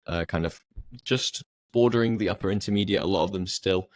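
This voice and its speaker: A man who teaches English, co-hosting a podcast and explaining things calmly and evenly. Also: deep voice, brisk, dry recording. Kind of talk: casual chat.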